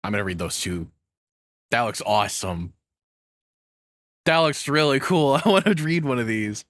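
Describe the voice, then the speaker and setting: A man's voice: mid-range voice, animated, normal speed, a bit bright. A man giving casual, informal reaction commentary as a streamer, with hesitant asides. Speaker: VTuber reacting to a video.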